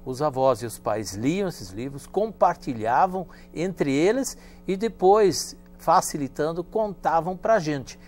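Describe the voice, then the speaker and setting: German-native professor with a fairly high voice. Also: male voice, faint music behind. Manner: measured and storytelling, with lively emphasis. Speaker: guest professor. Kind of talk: studio interview answers. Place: TV studio.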